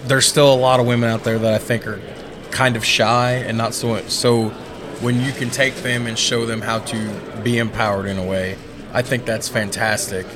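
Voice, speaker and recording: A man in his thirties co-hosts a podcast in a casual, rambling way and is generous with praise for his guests. He talks at a middle pitch. A crowd chatters loudly behind him in a busy event hall. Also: level tone, moderate pace. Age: 30s. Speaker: podcast co-host. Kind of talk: casual interview chat.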